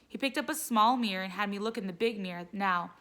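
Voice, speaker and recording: A woman reading a children's story aloud as a teacher would, in an expressive narrating style. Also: mid-range voice, fast.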